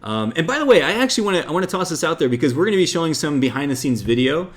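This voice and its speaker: A man's voice: fairly high pitch, big pitch swings, very fast. A man hosting an interview, effusive and eager, often stumbling over and repeating his words. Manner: effusive, stammering restarts, lots of "like". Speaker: host. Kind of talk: enthusiastic interview chat.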